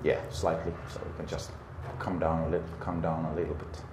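Deep-voiced middle-aged instructor guy, calm and encouraging, lots of uh's, echoey sim room. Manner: calm, encouraging, with many pauses and fillers. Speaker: flight instructor. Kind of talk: patient step-by-step instruction. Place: indoor flight simulator dome. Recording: roomy with background hum.

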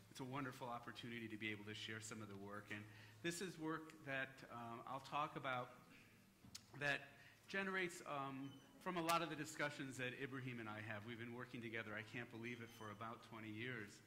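Bright-voiced man giving a conference talk. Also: medium pitch, slight background noise. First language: English. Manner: relaxed, joking, with frequent fillers. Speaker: conference speaker. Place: hotel conference hall. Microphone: podium microphone.

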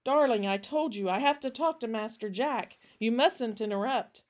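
Loyal servant and father for a storybook, woman performing, anxious, forceful, clipped delivery. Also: on the high side, normal speed, natural voice. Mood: stern.

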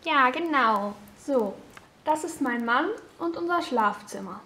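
A chatty thirty-something mother giving a home tour in a homemade toy-figure film, voiced by a girl. The voice sits high and is recorded in a roomy, echoey space. The delivery is casual and friendly. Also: lively intonation, unhurried, pushed and bright, full character voice. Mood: chatty cheerful. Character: cheerful mother of two, thirty-three-year-old housewife. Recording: roomy echo.

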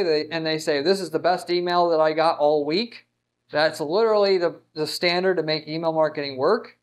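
A man in his thirties giving an informal marketing lesson in an emphatic, conversational manner, speaking into a lapel mic. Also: high-pitched. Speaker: marketing instructor. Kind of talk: informal whiteboard lesson, explaining to camera. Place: indoors in front of a whiteboard.

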